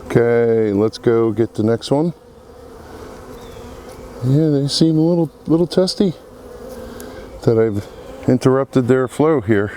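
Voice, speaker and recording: A man with a cold and a froggy, congested voice, talking slowly through a beehive inspection outdoors among buzzing bees. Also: mid-range voice, animated, dark tone, slight background noise. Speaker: beekeeper. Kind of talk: casual hive inspection commentary. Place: outdoor apiary.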